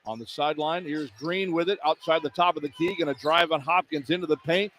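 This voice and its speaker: High-voiced man calling live basketball. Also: brisk, a bit bright. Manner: clipped running descriptions of the action. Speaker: play-by-play radio announcer. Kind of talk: live basketball play-by-play commentary. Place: courtside in a basketball arena. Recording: clear arena noise behind the voice. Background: arena ambience.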